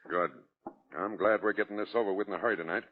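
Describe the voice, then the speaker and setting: An actor playing a casual, folksy American prison executioner in an old radio drama. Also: male voice, on the low side, fairly even intonation, quick pace, close to an everyday voice. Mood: businesslike. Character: folksy, matter-of-fact prison executioner, male. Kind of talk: 1940s radio drama acting. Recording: thin, narrow-band old radio recording.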